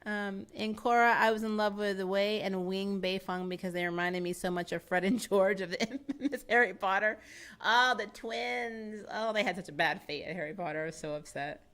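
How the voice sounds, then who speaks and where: A woman in her thirties with an American accent, hosting a casual live stream from a small home studio, chatty and animated, laughing often and thinking aloud. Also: mid-range voice, normal speed. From United States. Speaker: live-stream host reading chat. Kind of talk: casual chat. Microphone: boom-arm studio microphone close to the mouth.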